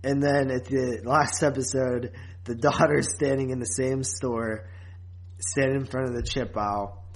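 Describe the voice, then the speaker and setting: A man speaks as a podcast co-host in an easy, informal chat. His pitch sits in an average male range, and his wording is slangy and conversational, as in a casual review between friends. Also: fairly even intonation, a little slow, rough recording.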